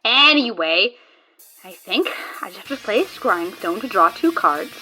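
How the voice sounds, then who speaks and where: A woman as an excitable nerdy card gamer. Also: medium pitch, slightly rough, full character voice, low-quality recording. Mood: explaining. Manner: fast, excitable, dramatic. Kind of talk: audio drama acting. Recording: faint music behind.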